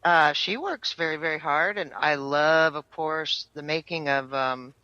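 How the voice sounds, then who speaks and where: An American singer-songwriter in her fifties chats through an interview, rambling along with plenty of fillers. Her voice is bright and forward. The sound is dry and close. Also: sits low, measured pace, no room sound, muffled recording. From United States. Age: 50s. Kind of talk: casual interview chat.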